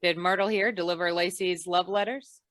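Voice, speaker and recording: A woman acts as a hard-boiled investigator from an old radio noir. The voice sits on the low side, dry and close. The delivery is wry, cool and commanding. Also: steady tone, moderate pace, a bit bright, dry recording, natural voice. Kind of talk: old-time radio detective drama, noir narration.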